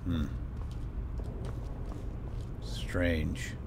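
A man's voice: deep voice, flat delivery, very slow, background noise. A man giving playful, lightly role-played let's play commentary, chatting to his in-game companion.

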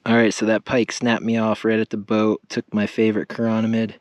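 A man's voice: on the low side, monotone, fairly quick, no room sound. A man hosting a fishing video, giving upbeat play-by-play from a boat on a lake, recorded on an action camera. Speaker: fishing channel host. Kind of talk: casual fishing commentary.

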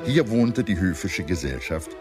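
Guy with a low voice doing a scripted history doc narration, pretty formal, music under it. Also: very animated, moderate pace. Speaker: documentary narrator. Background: music behind the narration.

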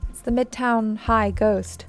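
A woman's voice: a little high, steady tone, slow pace. A woman in casual reaction chat as a show host, commenting in an amused, joking manner. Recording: game music behind the voice.

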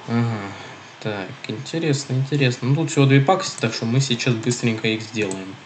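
Fast-talking man with a stuffy nose. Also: mid-range voice, a little hiss or hum. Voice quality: nasal. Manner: chatty, rambling, self-correcting. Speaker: gaming video host. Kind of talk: casual let's-play commentary, reading game text aloud.

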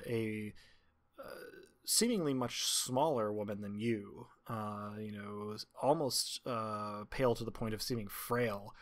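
A man improvising narration as game master, describing scenes in halting, measured phrases. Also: fairly low pitch.

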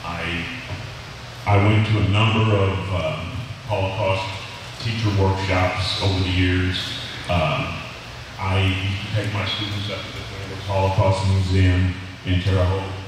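An older man, a retired history teacher, giving an earnest history lecture at a podium with a loud voice, many fillers and restarts. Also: deep voice, level tone, a little slow. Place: large hall with a seated audience, in doors. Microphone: podium microphone, filmed by a far camera. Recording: echoey hall from far off, audible room noise.

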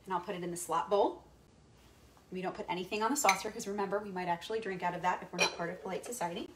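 A woman's voice: lowish voice, steady tone, very fast, background noise. A young woman teaching history while she cooks in her home kitchen, chatty and explaining each step. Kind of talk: cooking demonstration with history lesson.

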